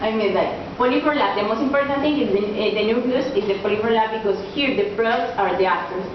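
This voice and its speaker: A young woman giving a group project presentation as a student, halting and searching for words. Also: on the high side. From Colombia. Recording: echoey, dull lo-fi room recording with noise.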